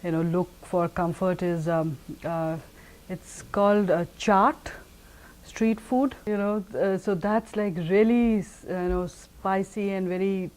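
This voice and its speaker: A woman's voice: medium pitch, slow pace, low-quality recording. Hesitant woman with an Indian accent. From northern India. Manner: hesitant, many fillers, animated. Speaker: interviewee. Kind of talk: casual interview.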